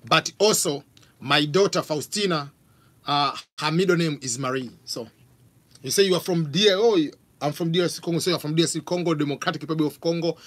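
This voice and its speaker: A man's voice: fairly high pitch, brisk. A man in his forties from Tanzania with a Tanzanian accent, hosting a live question-and-answer stream from a home office on a studio mic, reading out viewer questions. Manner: animated, gestures a lot, reads out questions. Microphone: studio mic on stand with pop filter.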